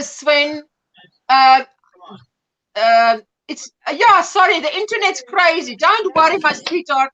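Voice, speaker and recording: A woman hosting a casual livestream chat in an animated, exclamatory way over a video call. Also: sits high, rough recording. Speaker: livestream host.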